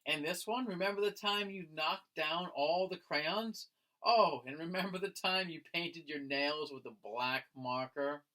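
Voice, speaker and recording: A man's voice: on the high side, unhurried, sharp tone, poor audio. A man voicing a confident little girl who loves telling stories, chatty and emphatic, in a picture book. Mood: eager, bossy. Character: confident little girl, a prolific young storyteller.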